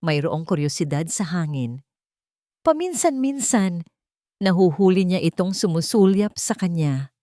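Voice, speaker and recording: A woman's voice: on the low side, animated, a little slow, warm tone, dry recording, studio quality. A woman with a Filipino accent reading a scripted romantic story as narrator.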